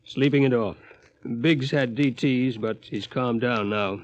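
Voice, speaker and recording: A worried, dutiful crewman acted by a man in a 1950s radio play. His medium-pitched voice is clipped and deferential. Faint noise runs under the old recording. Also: unhurried, highs cut off, lightly acted. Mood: uneasy. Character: uneasy subordinate crewman, dutiful but worried. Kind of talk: 1950s radio drama acting.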